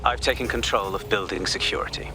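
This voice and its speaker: An actor playing a calm, menacing counterterrorism director in a video game, speaking in a measured, oratorical way. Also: male voice, on the low side, background noise, close to an everyday voice. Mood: calm persuasion.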